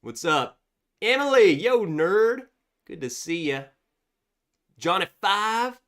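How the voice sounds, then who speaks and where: Congested male streamer, slightly high and very animated, upbeat host chatting with viewers. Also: slow. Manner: reads viewer chats aloud, chatty and upbeat. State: congested from a cold. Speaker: livestream host. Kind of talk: casual livestream chat.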